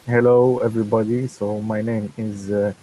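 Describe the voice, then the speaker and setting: A male student from Algeria introducing himself haltingly, with many pauses. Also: fairly low pitch, level tone, dark tone, rough recording.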